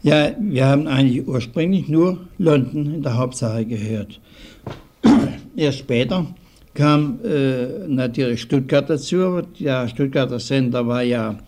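An older man giving recollections in an interview, speaking haltingly and deliberately with old-fashioned formal phrasing. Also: medium pitch, a little mellow. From southwestern Germany. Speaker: interviewee, early radio hobbyist. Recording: 1950s archival radio recording.

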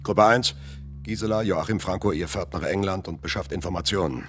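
Resolute male leader, deep voice, commanding, methodical, structured orders, radio drama. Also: a little fast, a bit bright. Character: authoritative, methodical male leader of resistance group.

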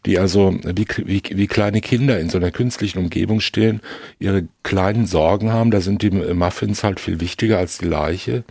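A man discussing film reflectively in halting, long-winded, analytical sentences. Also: deep voice, vocal fry, a little mellow, slightly rough, dry recording, clean studio sound. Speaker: podcast co-host discussing films.

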